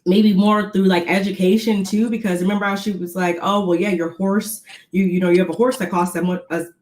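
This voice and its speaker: A woman's voice: medium pitch, fairly even intonation, brisk, a little mellow. A woman co-hosting a podcast, chatting casually and rambling with lots of fillers. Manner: rambling, many fillers and restarts. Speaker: podcast co-host recapping reality TV. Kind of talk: casual chat. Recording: roomy echo.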